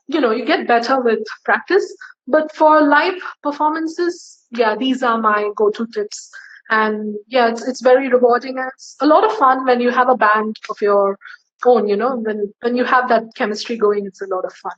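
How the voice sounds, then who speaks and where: A woman singer giving casual tips in an interview, chatty and friendly, with many fillers. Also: dull audio. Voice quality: low, bass-leaning voice for a woman.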